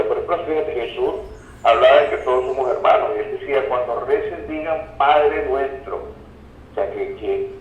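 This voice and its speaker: A man giving explanatory interview answers as a guest, hesitating and repeating words as he goes. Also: medium pitch. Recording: muffled, distant, echoey remote line, faint noise.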